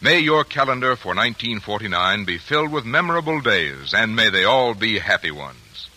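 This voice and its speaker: A man announcing on a 1940s radio program, reading the sponsor messages in a smooth, upbeat way. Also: lowish voice, big pitch swings, moderate pace, sharp tone. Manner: smooth, upbeat commercial delivery. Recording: 1940s radio broadcast with music underneath.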